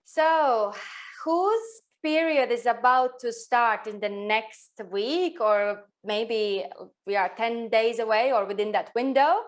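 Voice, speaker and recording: A woman from Latvia with a Latvian accent co-hosts a live online workshop, her voice sitting a little high. She talks in a chatty way, laughs easily and keeps turning questions to the audience. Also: takes it slowly. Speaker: workshop co-host.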